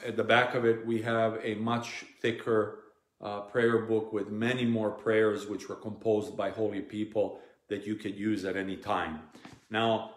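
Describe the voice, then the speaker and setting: A man in his forties, a priest whose first language is Serbian, speaking English with a Serbian accent as he earnestly shows parishioners things at home, with many ums and restarts. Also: fairly low pitch. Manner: earnest, plain-spoken, frequent ums and restarts. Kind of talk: informal teaching to parishioners. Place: living room at home. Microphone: device camera at close range. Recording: echoey room.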